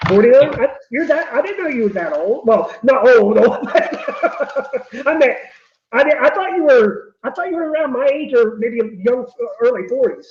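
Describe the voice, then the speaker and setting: Giggly high-voiced man on a muffled call. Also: lively intonation, echoey room. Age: late 30s. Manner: giggly, chatty, halting. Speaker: guest. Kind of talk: casual video call chat.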